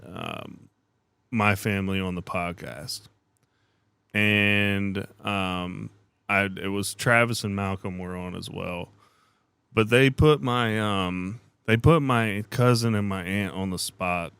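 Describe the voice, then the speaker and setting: A man's voice: fairly low pitch, slow pace, fairly bright tone, smooth, dry recording. A man hosting a podcast in a casual, conversational way, encouraging and complimentary toward his guests. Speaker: podcast host.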